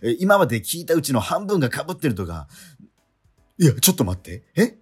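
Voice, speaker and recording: An exasperated schoolboy in a comedy radio play, the straight man of the duo. His pitch jumps around as he fires off incredulous retorts, in a slightly husky voice with music underneath. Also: sing-song, heavily acted. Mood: baffled, exasperated. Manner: incredulous, quibbling, rapid retorts. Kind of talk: comedic radio drama dialogue.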